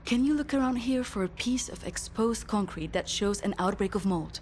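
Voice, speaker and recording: An actress playing a methodical woman researcher in a video game, measured with small hesitations. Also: mid-range voice, darkish tone, a little hiss or hum, close to an everyday voice. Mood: calm. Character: studious woman, calm and methodical. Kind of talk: video game cutscene acting.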